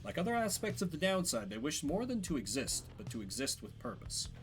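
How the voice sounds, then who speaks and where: A man's voice: fairly high pitch, sing-song, soft background music. Man reading as a dignified scholarly chronicler. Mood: earnest, reflective. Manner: formal, lecturing, deliberate.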